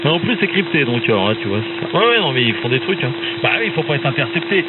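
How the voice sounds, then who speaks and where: A man's voice: medium pitch, sing-song, fast. Slangy Frenchman over very noisy ham radio. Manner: slangy, opinionated, rambling. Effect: amateur radio transmission. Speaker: amateur radio operator. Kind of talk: casual radio chat. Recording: very noisy, phone-like ham radio signal, humming. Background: loud radio static and hum.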